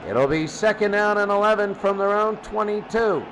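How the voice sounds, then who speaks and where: High-pitched man calling football play-by-play. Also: moderate pace, a bit bright, a little hiss or hum, rough recording. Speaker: play-by-play commentator for a video game football stream. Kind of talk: sports play-by-play commentary.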